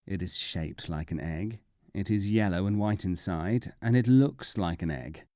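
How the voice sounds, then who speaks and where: Man playing a sly, mellow teasing prankster. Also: deep voice, measured pace, no room sound, studio quality. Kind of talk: storybook character reading.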